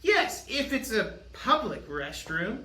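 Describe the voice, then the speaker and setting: Goofy male co-host, swooping animated delivery, roomy sound with faint noise. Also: high voice, slow pace. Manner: defensive, goofy, tells dad jokes. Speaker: podcast co-host. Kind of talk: casual banter. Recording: echoey room with faint noise.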